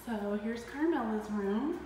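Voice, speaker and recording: A middle-aged woman giving a slow, plain walkthrough of an empty townhouse, filmed on a handheld phone. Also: a little high, lively intonation, dark tone, slight background noise. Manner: slow, plain, pausing between rooms. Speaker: parent giving a house tour. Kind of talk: casual walkthrough narration. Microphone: phone camera held while walking. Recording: echoey empty rooms with long reverb.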